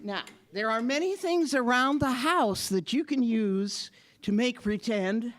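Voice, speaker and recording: A woman's voice: on the high side, measured pace. A woman presenting live children's audio theater about sound effects, instructing a young audience. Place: live library event before an audience.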